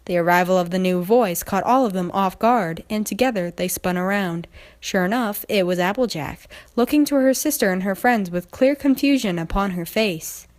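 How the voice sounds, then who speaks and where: A woman's voice: mid-range voice, moderate pace, a little mellow. Woman narrating a story. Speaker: fan fiction narrator.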